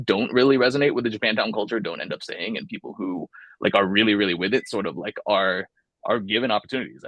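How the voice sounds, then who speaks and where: A man's voice: on the low side, fairly bright tone, no room sound. A young man from California, talking casually and thoughtfully in an oral history interview, with many fillers and restarts.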